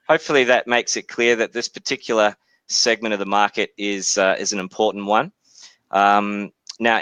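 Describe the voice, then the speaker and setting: Man, forties, Australian accent, low voice, dry close sound, polite, measured webinar presenter. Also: bright, forceful tone, clean tone, no room sound, rough recording. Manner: polite, measured, hesitant with frequent filler. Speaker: software company founder presenting.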